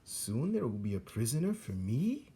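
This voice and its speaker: A man's voice: medium pitch, sing-song, normal speed, mellow, a little hiss or hum. A man voicing an eager, impressionable young male rat in a storybook, earnest and full of wonder.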